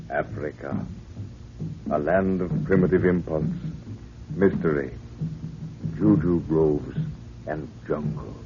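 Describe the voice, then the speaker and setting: A man narrating as announcer of a 1930s radio serial, dramatic, portentous and deliberate. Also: low voice. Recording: dull, noisy 1930s radio recording.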